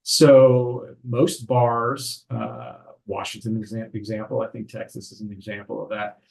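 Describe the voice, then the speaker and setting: Homme posé qui explique. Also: male voice, on the low side, flat delivery, gravelly, darkish tone. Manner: measured, explanatory, frequent "right?" tags. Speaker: estate attorney. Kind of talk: explanatory podcast interview.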